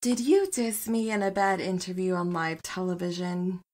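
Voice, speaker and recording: A woman playing a warm, wry, loyal partner in a naturalistic comedy audio drama, teasing with a deadpan touch. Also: lowish voice, moderate pace, natural voice. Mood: wry fondness.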